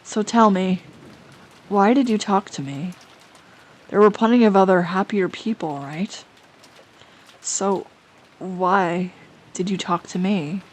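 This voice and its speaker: A woman voicing a quiet, lonely schoolgirl who speaks softly and then pleads with urgency. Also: mid-range voice, slow pace, long pauses, poor audio. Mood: subdued. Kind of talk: amateur audio drama reading.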